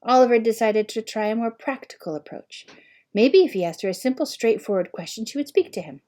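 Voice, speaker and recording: A woman reading a children's novel aloud as scripted narration, with an expressive, measured storytelling manner. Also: on the high side. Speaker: audiobook reader.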